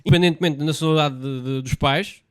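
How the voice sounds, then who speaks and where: A man's voice: on the high side, fairly even intonation, fast, smooth, no echo, studio quality. A man with a European Portuguese accent co-hosting a casual political chat, blunt and scornful, often repeating himself. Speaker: podcast co-host.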